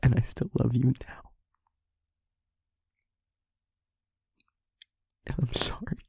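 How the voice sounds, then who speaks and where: A man playing a guilt-ridden demon lover in an intimate audio roleplay, speaking in a halting, breathy, confessional hush. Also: mid-range voice, dry recording, studio quality. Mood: tender, pleading. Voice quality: breathy, husky, whispery.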